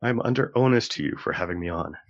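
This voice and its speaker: A man's voice: deep voice, expressive, fast, no room sound. A man telling anecdotes casually as a podcast guest, on dull, dry lo-fi audio. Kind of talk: casual storytelling chat.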